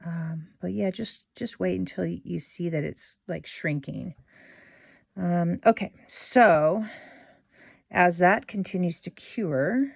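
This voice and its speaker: A woman hosting a casual step-by-step craft tutorial in an informal, unhurried way, thinking aloud as she works. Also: on the low side, creaky, darkish tone, no room sound, studio quality.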